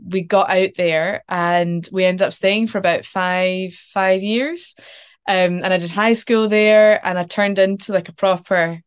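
A young Scottish woman with a Glasgow accent chats from her couch on a video call. Her voice sits low and comes across smooth and clear. She is animated, with plenty of ums and likes. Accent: Glaswegian Scottish. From Scotland. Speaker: actor guest. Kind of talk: casual interview chat. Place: home living room, sitting on a couch.

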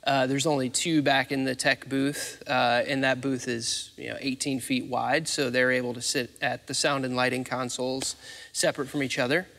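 A man's voice: medium pitch, little pitch movement, moderate pace, fairly bright tone. An American man in his thirties explaining arrangements in an interview, conversational and matter-of-fact. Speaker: theatre facilities manager, interviewee. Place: large theatre stage, indoors. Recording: reverberant empty theatre stage.

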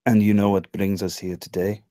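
Man playing low-voiced older crime boss, coldly menacing. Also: level tone, normal speed, warm tone, no echo, clean studio sound, close to an everyday voice. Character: thin, serious Cuban crime boss in his sixties. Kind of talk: script table read.

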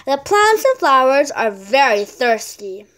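Woman reading as a gentle storybook big sister. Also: sits high, sing-song, a little slow, full character voice.

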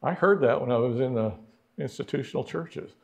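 A man's voice: mid-range voice, fairly quick, vocal fry, slightly rough. A middle-aged man teaching a church history class in a church classroom, talking conversationally, putting questions to the class and acting out the people he quotes.

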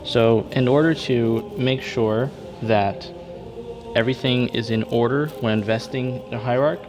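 Guy in a church walking you thru folding stuff on a table, patient, keeps saying okay, clear music behind him. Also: medium pitch, steady tone, unhurried. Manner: patient, step-by-step, repeats himself with frequent "okay?" checks. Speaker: church server demonstrating vestment setup. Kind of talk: hands-on instructional demonstration. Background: background music.

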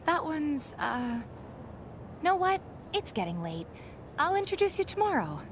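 An actress voicing a caring young woman who runs a bar in a video game, speaking in a gentle, slightly flustered way. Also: sits high, big pitch swings, measured pace, noisy background. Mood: warm.

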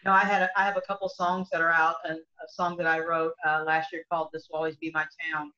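A woman's voice: low-pitched, level tone, fast talker, pushed and bright. A middle-aged woman, a country singer, talking earnestly on a video call from home, with many restarts. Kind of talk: casual video call discussion. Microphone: laptop webcam mic on a video call. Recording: dull lo-fi video call audio.

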